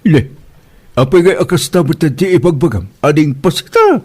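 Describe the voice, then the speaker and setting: A man's voice: on the high side, big pitch swings, moderate pace, a little mellow, breathy edge. A man playing a puzzled, easygoing middle-aged father in an Ilocano comedy drama, questioning and placating with an Ilocano accent. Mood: bewildered.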